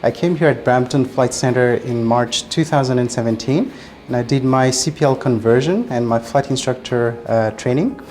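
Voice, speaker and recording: A man's voice: mid-range voice, normal speed, darkish tone, faint music behind. A man from Madagascar, a flight instructor, speaking earnestly with frequent hesitant fillers. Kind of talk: testimonial interview.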